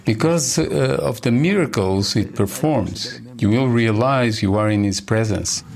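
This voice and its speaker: A man's voice: mid-range voice, unhurried, gravelly, darkish tone. A man giving a translated voice-over of an interview.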